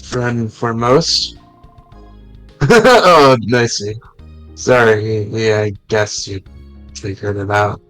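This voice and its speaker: A man's voice: fairly low pitch, slow pace, pauses often, a bit bright, faint music behind, rough recording. A man voicing a loyal young male friend character while reading a fan visual novel aloud on stream. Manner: casual, blunt delivery with mild swearing.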